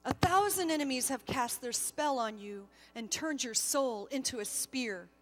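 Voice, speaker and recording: A woman voicing a solemn, firm male angel who speaks in grave, measured admonishment in a storybook reading. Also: sits high, long reverb tail. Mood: calm, firm.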